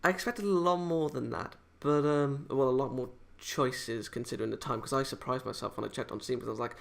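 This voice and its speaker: A man giving a casual, unscripted review in a halting, hesitant, rambling way, often correcting himself as he goes. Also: medium pitch, gravelly. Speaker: amateur video game reviewer.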